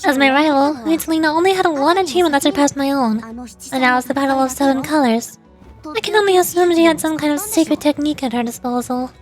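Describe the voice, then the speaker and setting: Woman voicing a proud, high-pitched shaman lady. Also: quick pace, faint music behind, natural voice. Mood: proud, scornful. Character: proud, prickly, easily flustered elder female shaman. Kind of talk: game dialogue read aloud.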